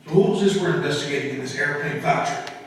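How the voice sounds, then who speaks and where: A man plays an older, questioning father of a detective in a radio mystery. His voice is fairly high for a man and forceful, far off in a reverberant hall with faint noise. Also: quick pace, close to an everyday voice. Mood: weary. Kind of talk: old-time radio mystery acting. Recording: echoey hall, distant mic.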